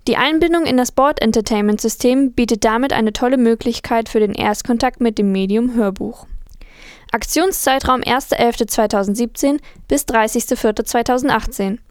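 A woman's voice: fairly high pitch, moderate pace, clean tone, no room sound, clean studio sound. A woman reading scripted quotations for a news show. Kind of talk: scripted read-aloud.

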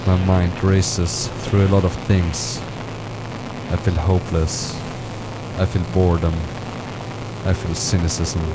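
A man reading a poetic personal text in a halting way, sometimes stumbling over words. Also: deep voice, flat delivery, heavy background noise. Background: loud experimental music.

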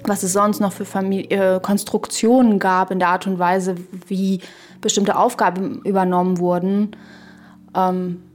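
A woman talks through ideas in a reflective podcast conversation, with a medium-pitched voice. She feels her way haltingly through sentences and checks in with small tag questions. Faint music plays behind her. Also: little pitch movement. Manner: halting, searching, thinking aloud with frequent tag questions. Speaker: podcast guest or co-speaker.

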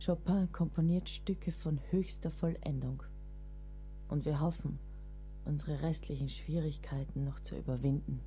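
A woman's voice: sits low, fairly even intonation, a little slow, warm tone, a little hiss or hum. A woman acting a devoted, burdened writer caring for her ailing lover in a German radio play, grave and literary in delivery. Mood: grave, reflective. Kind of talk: German audio drama acting, literary monologue. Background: music.

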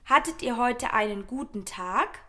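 A woman's voice: on the high side, lively intonation, unhurried, sharp tone. A woman playing a chirpy, upbeat social media poster in a radio collage, gushing. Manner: gushing, reads smileys aloud.

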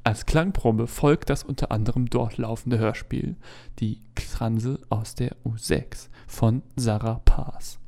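Gravelly, mellow male announcer. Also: medium pitch. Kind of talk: scripted promotional narration.

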